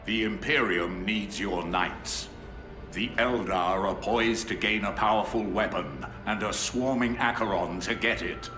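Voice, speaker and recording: An actor playing a stern, disgraced inquisitor. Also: male voice, lowish voice, unhurried, bright, forceful tone. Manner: stern, urgent. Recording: echoey with music.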